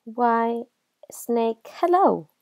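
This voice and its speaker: A woman's voice: fairly high pitch, expressive, extremely slow, a little mellow, dry recording, exaggerated voice. A woman voicing a small, clever, cheeky mouse in a children's storybook, with a confident, emphatic, theatrical delivery. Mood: boastful.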